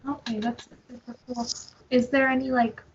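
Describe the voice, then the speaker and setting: A woman's voice: a little high, flat delivery, normal speed, vocal fry, highs cut off, a little hiss or hum. A young woman conducting a casual interview in an informal, affirming way.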